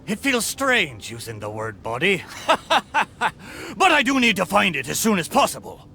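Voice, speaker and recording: Game casting: living pirate ship, bright high voice, swooping delivery, hearty and boastful, theatrical buccaneer speech. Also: male voice, big pitch swings, measured pace, gravelly, faint music behind, exaggerated voice. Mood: wistful, earnest. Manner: theatrical pirate talk, exclamatory. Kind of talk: video game character acting.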